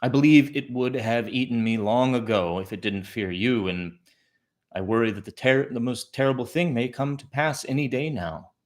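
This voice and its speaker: A man plays a little talking mouse from a folk tale, later turned cat. The creature is humble and earnest, addressing others with formal, respectful politeness in a mid-pitched voice. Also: moderate pace, close to an everyday voice. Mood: worried, pleading. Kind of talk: storybook folk tale character.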